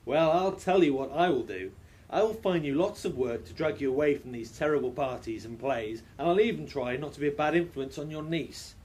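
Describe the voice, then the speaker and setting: A man's voice: a little high, brisk, darkish tone, lightly acted. Man playing a wry police inspector, faint noise behind. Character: wry bachelor police inspector, gallant and roguish. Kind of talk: audio drama acting. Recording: faint noisy background ambience.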